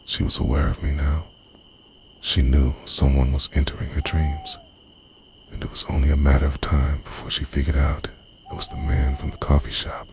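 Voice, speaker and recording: A man narrates a scripted romantic ASMR roleplay in a hushed, breathy, confessional voice that often slips into a whisper. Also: extremely low pitch, steady tone, normal speed, slight background noise.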